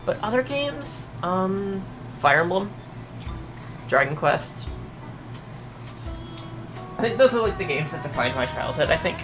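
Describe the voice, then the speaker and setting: Young vtuber just chatting w chat, lots of um and uh, swears a bit, music going in the back. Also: mid-range voice. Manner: rambling, hesitant, many fillers, mild swearing. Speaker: VTuber streamer. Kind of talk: casual chat answering viewer questions. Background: music playing.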